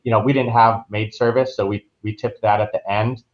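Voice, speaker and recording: A man's voice: fairly low pitch, steady tone, fairly quick. An American man speaking as a travel agent guest on a podcast, explaining things in an informal, conversational way. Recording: dull, lo-fi online call audio.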